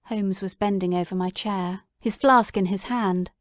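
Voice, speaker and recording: A woman giving steady first-person narration for a dramatic audiobook reading. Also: mid-range voice, measured pace, no room sound, clean studio sound.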